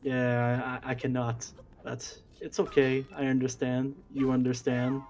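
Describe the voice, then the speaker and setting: A man's voice: medium pitch, monotone, a little slow, a little mellow. Quebec-accented male commentator, chatty and giggly, stammery. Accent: Quebec French. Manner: chatty, laughs often, stammers on starts. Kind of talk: casual stream commentary.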